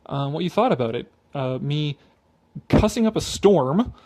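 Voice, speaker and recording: A man with a slightly higher-than-average voice delivers opinionated video commentary. He is sardonic and exasperated, stressing his words and swearing freely. He sounds a little tipsy and speaks off the cuff, in a dramatic, mocking style. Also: animated, a little slow, mellow. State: tipsy from drinking.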